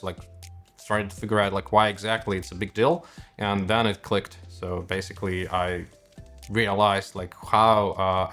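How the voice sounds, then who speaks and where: A man from Russia with a Russian accent, a native Russian speaker, explaining technology as a startup co-founder in a halting, hesitant way. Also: fairly low pitch, fairly bright tone. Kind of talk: explanatory interview talk about technology.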